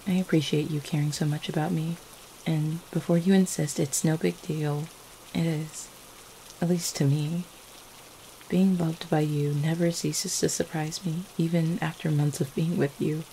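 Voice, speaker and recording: A woman playing a gentle, devoted vampire girlfriend in an ASMR roleplay, speaking in a tender, hesitant, affectionate manner. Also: sits low, normal speed, low-quality recording. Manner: soft-hearted, hesitant, tender. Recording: faint steady rain-like background noise. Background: rain falling.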